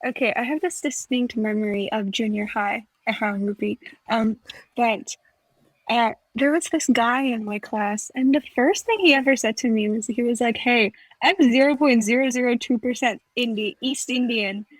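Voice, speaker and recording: A woman's voice: fairly high pitch, moderate pace, no echo, rough recording. A young woman co-hosting a podcast, chatting casually with lots of fillers and acting out remembered conversations. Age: young adult. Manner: chatty, filler-heavy, acts out quoted exchanges. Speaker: podcast co-host. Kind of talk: casual chat.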